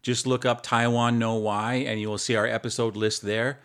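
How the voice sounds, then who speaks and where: A man hosting a podcast in casual chat, earnest and a little halting. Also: medium pitch, flat delivery, a bit bright, clear, resonant tone. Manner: earnest, with some restarts. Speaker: podcast host.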